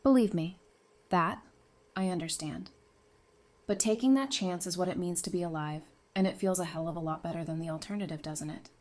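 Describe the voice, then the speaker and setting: An actress playing a warm, gently teasing woman doctor in an audio drama, talking in a casual, reassuring way. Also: on the low side, a little fast, close to an everyday voice.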